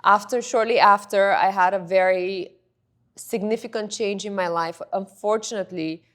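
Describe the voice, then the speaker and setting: A woman's voice: mid-range voice, steady tone, moderate pace. Chatty woman guest. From Bulgaria. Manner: chatty, rambling storytelling. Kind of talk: interview.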